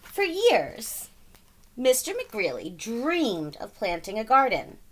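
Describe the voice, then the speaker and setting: A woman's voice: on the high side, huge pitch swings, slow, a bit bright. A woman reading a children's story aloud in a dramatic, emphatic style, playful with sound words. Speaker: storybook reader. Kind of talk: animated children's read-aloud narration.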